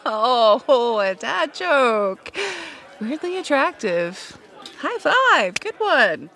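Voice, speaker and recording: A woman's voice: high voice, big pitch swings, extremely slow, sharp tone, full character voice. An actress playing a playful, flirty, adventurous woman on a date in a romcom audio drama, giggly and teasing.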